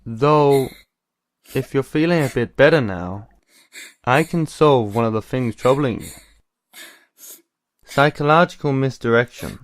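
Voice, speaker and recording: Man acting a calm, bookish older brother. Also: medium pitch, lightly acted. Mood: gentle, sympathetic. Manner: measured, explanatory, slightly formal. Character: calm, caring, bookish older brother who explains things. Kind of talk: anime-style audio drama acting.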